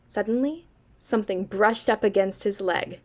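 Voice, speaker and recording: A young woman acting as a sardonic, eerie campfire storyteller in a student audio drama, with dry, deliberate delivery. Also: mid-range voice, close to an everyday voice. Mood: ominous, measured. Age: young adult.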